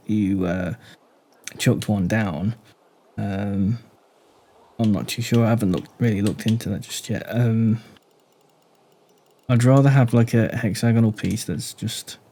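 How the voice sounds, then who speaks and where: A man giving casual commentary on a game build, speaking deliberately low and quiet while he thinks aloud and asks the viewer questions. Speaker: gaming YouTuber.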